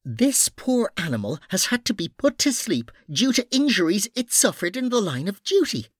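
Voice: mid-range voice, sing-song, moderate pace, no room sound, studio quality, voice pushed up. A performer voicing an indignant woman veterinarian, nervous but fiery, with an emphatic, scolding manner in an audiobook.

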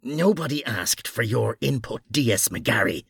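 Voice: mid-range voice, sing-song, normal speed, a bit bright, no room sound, studio quality, full character voice. A narrator as a stern, officious police chief. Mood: angry. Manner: gruff, officious. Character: stern, irritable senior police officer, short balding man.

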